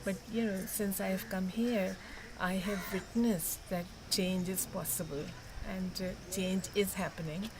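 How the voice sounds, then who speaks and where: A woman from Pakistan, reflecting earnestly in an interview, halting with fillers. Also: medium pitch, fairly even intonation, noisy background. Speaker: meeting participant. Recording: loud crowd chatter behind.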